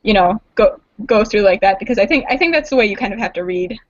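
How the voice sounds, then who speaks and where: A woman's voice: mid-range voice, lively intonation, fast talker, no room sound. A woman chatting casually about books as a panelist on a group video call, talkative and building her points by repetition. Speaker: panelist and awards judge. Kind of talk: casual book chat. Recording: dull, dry online call audio.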